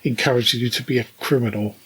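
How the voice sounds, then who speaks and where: A middle-aged or older British man co-hosting a casual podcast, telling anecdotes in a measured way with wry asides. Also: medium pitch, steady tone, fairly bright tone, breathy edge, poor audio. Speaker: podcast co-host.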